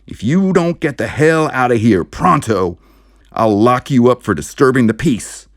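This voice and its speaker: A man voicing a gruff, bullheaded American small-town sheriff in an audiobook, blustering and yelling. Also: mid-range voice, lively intonation, a little slow, no echo, clean studio sound, voice pushed up. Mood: furious. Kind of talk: audiobook character voice.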